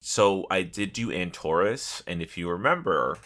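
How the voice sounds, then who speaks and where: A man's voice: sits low, normal speed. A man co-hosting a casual gaming podcast, telling stories in a blunt, profanity-laced way. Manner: profane, blunt, animated storytelling. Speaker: podcast co-host. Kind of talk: casual chat about online gaming.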